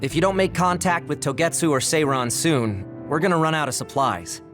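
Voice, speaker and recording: An actor playing a practical young male ally in an anime game, matter-of-fact and brisk. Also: on the high side, music in the background, close to an everyday voice. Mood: concerned. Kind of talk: anime game cutscene dialogue.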